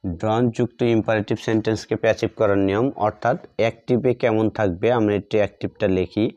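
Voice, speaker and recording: A man's voice: fairly low pitch, steady tone, fast, mellow, dry recording, dull audio. A man with a Bengali accent teaching grammar like a classroom teacher, repeating phrases step by step as if dictating while he writes. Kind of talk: classroom lesson, explaining while writing out examples.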